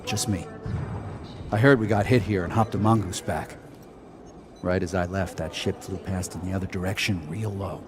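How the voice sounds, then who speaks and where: A gruff colony worker acted by a man with a fairly low voice. He is blunt, colloquial and unhelpful, in a game scene with faint ambient noise. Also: fairly even intonation, normal speed, pauses often, natural voice. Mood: terse, reporting. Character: gruff, unhelpful male mining colony local. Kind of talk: video game cutscene acting.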